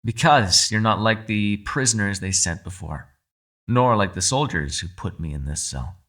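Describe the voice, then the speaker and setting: A man performs a captive fox spirit in an ASMR roleplay, low-pitched and crisply bright. He is sly and mock-polite, taunting with theatrical, widely swooping intonation and quiet menace. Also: expressive, normal speed. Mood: proud, cool.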